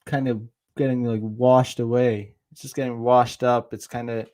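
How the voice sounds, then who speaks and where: A man's voice: mid-range voice, little pitch movement, normal speed, a little mellow. An Irish man hosting a podcast, talking in a loose, profane monologue full of restarts and fillers. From Ireland. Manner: rambling, profane, many restarts. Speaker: podcast host. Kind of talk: casual livestream monologue.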